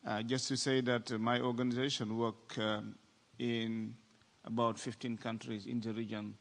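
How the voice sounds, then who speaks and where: A man from Senegal with a strong French accent, speaking deliberately as an NGO director on a panel. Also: medium pitch, flat delivery, vocal fry. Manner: deliberate, with fillers and pauses. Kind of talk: conference panel talk. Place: large conference hall. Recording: reverberant conference hall.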